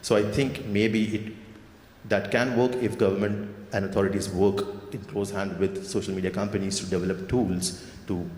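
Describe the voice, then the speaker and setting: A man answering a question on a conference panel, measured and explanatory, with occasional fillers. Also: lowish voice, level tone, a little mellow. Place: conference hall. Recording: echoey hall with faint ambience.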